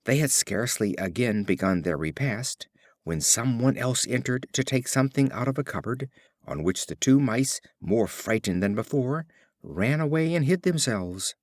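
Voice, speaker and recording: A man narrating fables as an audiobook reader, with a measured, expressive storytelling delivery. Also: mid-range voice, dry recording, studio quality.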